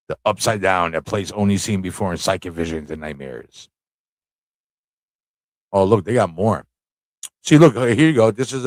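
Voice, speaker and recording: A man's voice: lowish voice, dry recording. A man hosting a casual live stream, thinking aloud in an easygoing, informal way as he chats, reads aloud and reacts.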